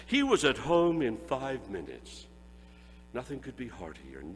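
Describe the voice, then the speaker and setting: A man's voice: medium pitch, unhurried, in a large hall, slight background noise. Man reading a story with great expression. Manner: expressive, relishing phrases. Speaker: reader.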